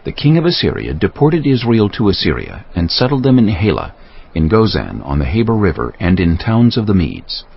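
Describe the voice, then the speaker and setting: A man's voice: lowish voice, huge pitch swings, moderate pace, creaky, husky. A man narrating a dramatized scripture audiobook in a scripted, storytelling delivery. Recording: muffled audio with faint music.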